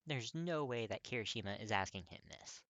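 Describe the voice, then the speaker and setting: A narrator reading fiction aloud in an expressive, scripted storytelling style. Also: medium pitch, a little fast, dry recording, muffled recording.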